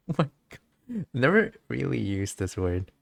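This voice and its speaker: A man giving casual, giggly game commentary, halting a little as he talks. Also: medium pitch, big pitch swings, gravelly. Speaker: game streamer. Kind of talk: casual playthrough commentary with dialogue read aloud.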